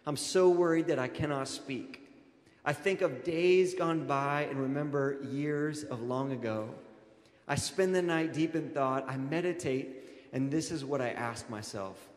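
A man in his thirties preaching a conversational sermon as a pastor, informal and animated, addressing his congregation directly into a handheld microphone. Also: on the high side, a little slow. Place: large auditorium stage, indoors. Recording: echoey hall with long reverb.

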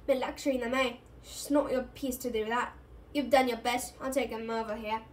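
A woman acts out a gruff gamekeeper, a blunt storybook man with a protective streak. He growls his rustic phrases loudly and firmly. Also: on the high side, fairly even intonation, moderate pace, natural voice. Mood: brusque. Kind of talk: storybook character reading.

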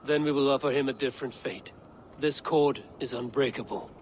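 Man playing weary Norse warrior-god, solemn, measured, calculating, game cutscene, faint ambience behind. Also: medium pitch, a little hiss or hum, lightly acted. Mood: cold, calculating. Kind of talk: cinematic video game acting.

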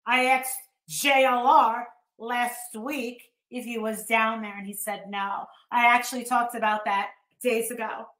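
A woman's voice: fairly high pitch, fairly even intonation, a little slow, hardly any pauses, fairly bright tone, roomy sound, poor audio. A middle-aged American woman ranting casually on a livestream in a blunt, scornful, sweary manner. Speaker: YouTube host. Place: living room at home, indoors.

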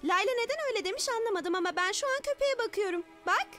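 High pitched nerdy logical cartoon girl, explains stuff earnestly, bit of music under. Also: lively intonation, fast, sharp tone, heavily acted. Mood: puzzled. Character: brainy, logical, wordy young girl. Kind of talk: animated children's cartoon dubbing.

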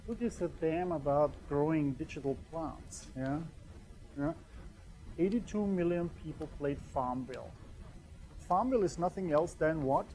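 A man's voice: fairly high pitch, a little mellow. A man in his thirties from Austria with a German accent, a native German speaker, giving a conversational lecture in a small room and often checking in with "yeah?". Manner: conversational, keeps asking "yeah?"; pacing and gesturing while talking. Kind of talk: conference lecture.

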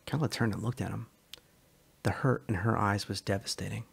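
A man's voice: lowish voice, steady tone, a little fast, gravelly, slightly rough. A man giving a dramatic reading of a short story as narrator.

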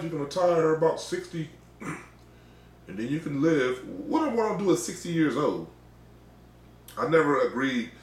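Middle-aged american guy preaching, repeats stuff for emphasis, medium pitch, roomy echoey sound. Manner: exhorting, emphatic repetition. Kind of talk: informal sermon. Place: small indoor room.

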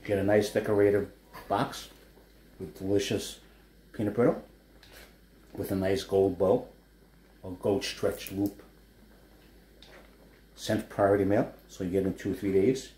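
A low, mellow-toned man with a New York accent rambles cheerfully through a home cooking demo, drifting into chatty asides. His voice rings a little in a roomy living space, with a faint hum of room noise behind. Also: little pitch movement, unhurried, long pauses. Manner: chatty, rambling asides while stirring a wok. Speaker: chef and food blogger. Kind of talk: casual cooking demonstration. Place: home living room kitchen setup, indoors.

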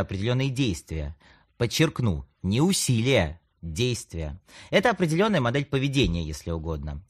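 A man talks straight to the listener like a coach hosting a podcast. His mid-pitched voice moves up and down in a lively way. He is chatty and playful, slipping in ironic asides as he explains. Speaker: podcast host, communication coach and psychologist. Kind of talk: conversational audiobook monologue addressing the listener.

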